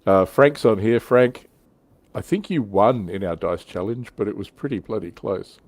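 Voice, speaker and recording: Low-voiced man hosting a casual panel. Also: moderate pace, breathy edge. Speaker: livestream panel host. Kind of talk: casual hobby discussion.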